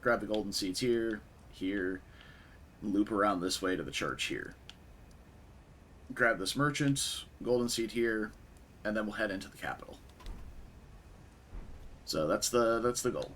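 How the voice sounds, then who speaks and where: A young man with a raspy voice from a concert the night before, casually commentating a game stream and chatting with viewers. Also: fairly low pitch, measured pace, pauses often, slight background noise. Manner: relaxed, chatty, answers chat.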